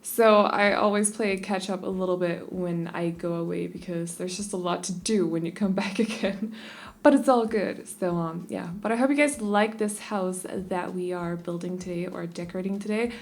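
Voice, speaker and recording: A woman's voice: on the low side, steady tone, normal speed. Chatty Danish woman, rambling voice-over. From Denmark. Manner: chatty and rambling, many restarts and asides. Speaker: YouTube creator. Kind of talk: casual voice-over chat during a game speed build.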